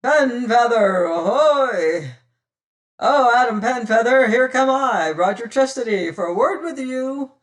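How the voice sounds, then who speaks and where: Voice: medium pitch, animated, measured pace, a bit bright, far from the mic. A reader voicing a bold, cunning male pirate captain who talks hearty old-fashioned sea talk. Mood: hailing.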